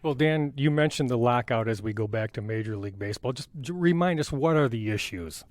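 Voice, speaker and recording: A man's voice: mid-range voice, animated, brisk, no room sound. A man hosting a radio show, asking questions in a conversational interview.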